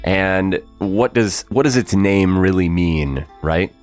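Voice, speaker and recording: A man's voice: low voice, slow, soft background music. A man co-hosting a podcast, musing thoughtfully about a story, with lots of fillers and easy laughs. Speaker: podcast co-host. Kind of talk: casual behind-the-scenes discussion.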